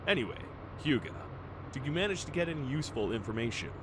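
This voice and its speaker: A man playing a grave, loyal first officer in a fan radio play, speaking in a measured, formal way. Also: medium pitch, very animated, noisy background, natural voice. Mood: troubled, confiding. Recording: music behind the voice.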